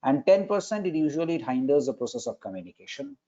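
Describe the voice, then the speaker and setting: A man's voice: fairly high pitch, big pitch swings, quick pace, mellow. A man, moderating a webinar and politely running timed polls. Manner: polite, procedural, counting down the time. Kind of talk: moderating an online session. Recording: dull lo-fi online call audio.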